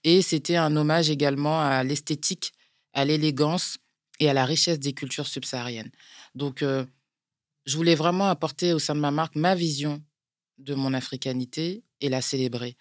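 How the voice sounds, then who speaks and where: A woman with a French accent, a brand founder answering interview questions in a measured way, pausing often to find her words. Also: low-pitched, steady tone, no echo, studio quality. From France. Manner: measured, explains step by step with frequent hesitations. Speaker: guest, clothing brand founder. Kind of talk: interview conversation.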